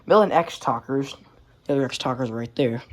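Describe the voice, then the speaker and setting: A man talks through a collection in an offhand, rambling way. His voice sits at an average pitch, and the casual recording has clear background noise and clicks. He slips in mild swearing as he goes. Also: brisk. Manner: offhand, rambling, mildly profane. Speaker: radio collector showing collection. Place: indoors among working radios.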